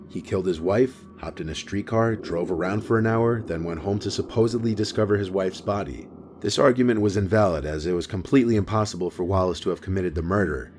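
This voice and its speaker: A man reading a scripted documentary narration in a steady, even storytelling manner. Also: low voice. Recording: voice-over with clear music behind.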